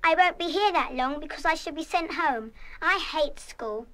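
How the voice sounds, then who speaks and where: A woman's voice: sits high, lively intonation, moderate pace, a bit bright. An actress playing a headstrong, proud young British schoolgirl in a radio play, with an emphatic, stubborn manner. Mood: sulky. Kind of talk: full-cast radio play acting.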